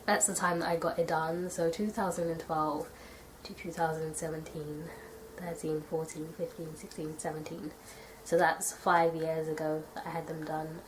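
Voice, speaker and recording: A young woman from the UK chatting casually to camera in a tag video, her nose stuffy from a cold and her voice a little raspy. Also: deep voice, little pitch movement, normal speed, slight background noise, low-quality recording. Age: young adult. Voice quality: slightly raspy. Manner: chatty, thoughtful, rambling with fillers. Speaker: vlogger.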